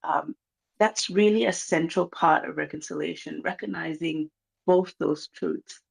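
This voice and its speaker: A speaker from Malaysia with a Malaysian accent, giving an earnest, measured address over a video call, pausing often with um. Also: medium pitch, creaky, breathy edge. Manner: earnest, measured, many um pauses. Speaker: guest speaker from a reconciliation organization. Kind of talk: formal address at a launch event.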